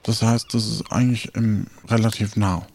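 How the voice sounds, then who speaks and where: Creaky-voiced man in an improvised roleplay. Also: on the low side, little pitch movement, moderate pace, darkish tone, slight background noise. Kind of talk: improvised tabletop roleplay talk.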